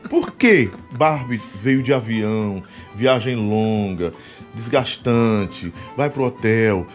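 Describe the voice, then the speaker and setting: A man from Piauí, hosting a podcast in animated, joking, rambling casual chat. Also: fairly low pitch, slow, faint music behind. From Piauí, Brazil. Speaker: podcast host.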